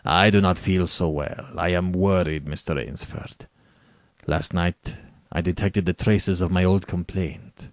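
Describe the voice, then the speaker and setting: A man's voice: low voice, fairly even intonation, a little slow, no echo, clean studio sound. A man voicing a suave, falsely courteous aristocratic general and cruel hunter in a storybook, with a courtly, condescending, theatrical manner. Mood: weary, bored. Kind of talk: dramatic storybook reading.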